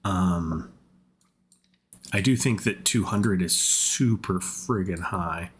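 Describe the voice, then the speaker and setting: A man giving casual gaming commentary in a rambling, think-aloud way, his voice rough from repeated colds. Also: low voice, slow. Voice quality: husky, rough voice. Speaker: game content creator.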